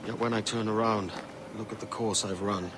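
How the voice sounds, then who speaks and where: An actor playing a remorseful, plain-spoken pirate captain in a video game cutscene. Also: male voice, on the low side, steady tone, moderate pace, noisy background, lightly acted. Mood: rueful, weary. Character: weary, remorseful pirate captain, adult man.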